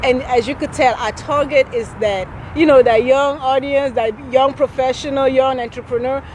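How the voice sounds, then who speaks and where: A woman's voice: high-pitched, fairly even intonation, moderate pace, slight background noise. Earnest Cameroon-born woman in her thirties. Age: 30s. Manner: earnest, with frequent restarts and laughs. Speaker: nonprofit founder. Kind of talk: conversational interview answers.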